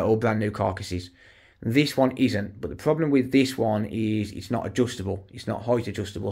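A man's voice: on the low side, a little mellow. A middle-aged man with a West Midlands English accent, chatting casually and rambling as he films on a handheld phone while walking through a house under renovation. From West Midlands, England. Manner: chatty, rambling, self-correcting with many fillers. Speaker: electronics repair YouTuber. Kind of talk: casual walkthrough vlog. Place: unfinished house under renovation, indoors and garden. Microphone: handheld phone camera, filming as he walks.

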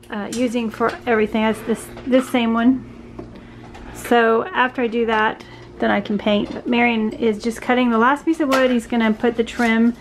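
A woman's voice: fairly high pitch, a little hiss or hum. Chatty woman narrating a kitchen renovation. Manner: chatty, explains as she works, with fillers and restarts. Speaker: home renovation vlogger. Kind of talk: casual DIY vlog narration. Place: small home kitchen, indoors.

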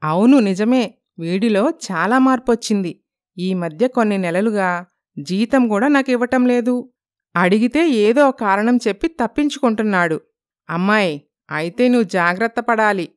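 Woman doing a knowing old granny for a cartoon story, protective, clear voice. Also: mid-range voice, moderate pace, lightly acted. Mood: suspicious. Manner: knowing, colloquial elder's talk. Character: old grandmother, shrewd, protective, advising.

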